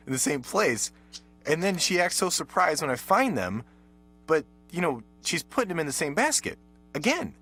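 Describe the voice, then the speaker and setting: Guy doing a husky little pet dog, chatty and wry, voice bouncing up and down. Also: mid-range voice, a bit bright. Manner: wry, chatty, mock-exasperated. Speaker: ad voice-over. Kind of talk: comic ad voice-over.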